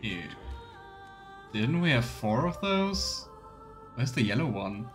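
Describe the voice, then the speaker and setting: A man streaming a video game with casual commentary and role-play asides, thinking out loud. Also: medium pitch, big pitch swings, slow pace, over music.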